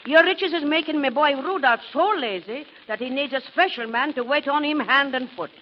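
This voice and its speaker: A man's voice: extremely high pitch, moderate pace. A man doing a brash tough old sea-captain woman. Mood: blunt. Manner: rough, blunt, wisecracking, sailor talk. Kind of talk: 1940s radio comedy acting. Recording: dull old radio recording, faint hiss.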